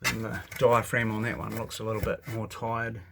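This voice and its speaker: A man's voice: on the low side, flat delivery, moderate pace, vocal fry, background noise. A man with a New Zealand accent giving a casual, hands-on show and tell, rambling and thinking aloud as he goes. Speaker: hobbyist radio reviewer. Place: indoors at a home workbench.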